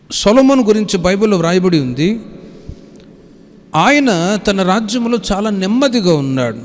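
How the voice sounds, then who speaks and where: Male preacher, high register, instructive scripture exposition with repeated phrases, heard with long hall reverb. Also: darkish tone. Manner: didactic, repeating phrases and citing verses. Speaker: pastor. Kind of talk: sermon with scripture reading and explanation.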